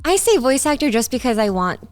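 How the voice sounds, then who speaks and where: A woman's voice: sits high, sing-song, a little fast, dry recording, soft background music. A young American woman chatting casually as a podcast guest, telling self-deprecating stories with a giggly manner. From United States. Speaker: podcast guest, idol and voice actor. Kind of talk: casual chat.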